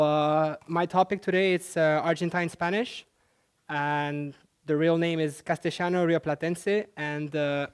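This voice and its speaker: A man's voice: on the high side, darkish tone, clear, resonant tone. A young man from Central Asia, a native Russian speaker, giving a chatty conference presentation with many fillers and restarts. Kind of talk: presentation talk.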